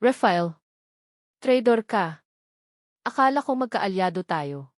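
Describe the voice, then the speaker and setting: A woman's voice: mid-range voice, animated, slow pace, dry recording, studio quality. Woman acting out a sneering, mocking storybook villainess. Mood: shocked, accusing. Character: sneering villainess, taunting and scheming.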